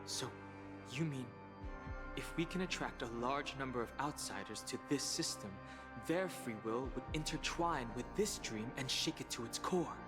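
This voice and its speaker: A man playing a serious, thoughtful and resolute adviser in a video game, measured and formal. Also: mid-range voice, lively intonation, music in the background, close to an everyday voice. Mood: serious, pondering. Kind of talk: video game character acting.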